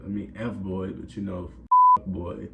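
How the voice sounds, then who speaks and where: A man hosting a casual reaction video, talking in laid-back, drawn-out asides. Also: low voice, level tone, vocal fry, echoey room, heavy background noise.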